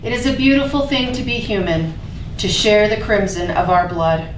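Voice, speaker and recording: A woman's voice: medium pitch, animated, moderate pace. A woman hosting and reading aloud at a staged reading. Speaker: host introducing and reading a poem. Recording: echoey room, distant mic, faint noise.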